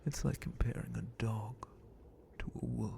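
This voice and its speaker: A man voicing an ancient, sadistic dragon captain in an ASMR roleplay, menacing and condescending in a breathy, gravelly whisper with drawn-out theatrical delivery. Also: mid-range voice, fairly even intonation, noisy background. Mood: haughty, disdainful. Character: ancient sadistic male dragon guard captain, possessive captor.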